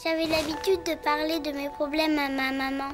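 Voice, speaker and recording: A woman's voice: high-pitched, little pitch movement, normal speed, lightly acted. A woman voicing a sad, brave little hedgehog girl in a children's cartoon, childlike and plaintive. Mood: sad, vulnerable. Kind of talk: French-dubbed children's cartoon acting. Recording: music behind the voice.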